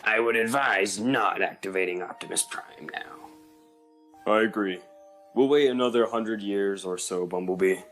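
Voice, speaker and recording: Male actor as cautious robot counsellor, formal, detached, on the low side. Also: fairly bright tone, faint music behind. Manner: measured, formal. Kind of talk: comic dub voice acting.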